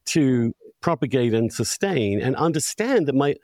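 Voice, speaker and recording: A man's voice: mid-range voice, lively intonation, normal speed, a little mellow, no room sound, studio quality. A man speaking emphatically and with strong opinions in a conversational interview, as a podcast host.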